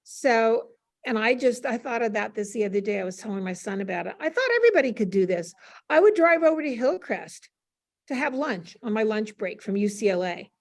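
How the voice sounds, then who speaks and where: Older woman with a higher-pitched voice, reminiscing. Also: animated, fairly quick. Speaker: interview guest. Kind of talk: casual reminiscing on a panel.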